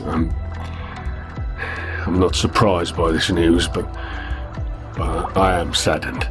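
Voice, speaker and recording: Very deep, solemn African mystic. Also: male voice, little pitch movement, music in the background. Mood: sorrowful, solemn. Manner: grave, measured, formal speech. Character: solemn, sorrowful devout stranger grieving his enslaved brother. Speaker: game character voice actor. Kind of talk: video game character acting.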